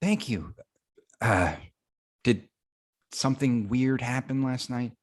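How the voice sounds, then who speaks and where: A man playing a flustered, defensive young werewolf, halting and stammering, in a comedy script reading. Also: mid-range voice, lightly acted. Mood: sheepish, confused. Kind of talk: comedic script table read.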